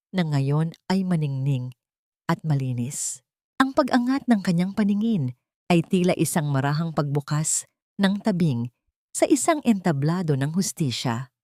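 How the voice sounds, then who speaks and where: Female storyteller, lowish register, solemn scripted drama narration, measured phrasing, close and dry recording. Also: darkish tone, no echo.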